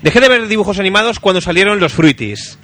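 A man with a Castilian Spanish accent and a slightly high voice hosts a casual radio show. He talks in a colloquial, joking way as he shares messages from listeners, with music playing underneath. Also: animated, quick pace, pushed and bright. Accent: Spain. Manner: jokey, colloquial. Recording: music playing behind the talk.

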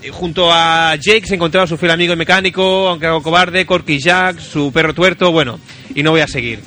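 A man with an accent from Spain hosting a casual radio show, chatting in an excitable, rambling and sweary way. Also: fairly high pitch, a little fast, soft background music. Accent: Spanish from Spain. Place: radio studio, at a microphone.

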